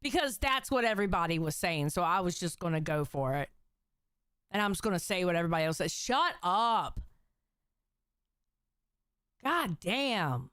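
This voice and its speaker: A woman's voice: lowish voice, big pitch swings, normal speed, long pauses, fairly bright tone, clean tone, no room sound, clean studio sound. A young adult woman streamer giving blunt, heated commentary on clips and reading out chat comments. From Puerto Rico. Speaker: reaction streamer. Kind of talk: casual commentary reacting to clips.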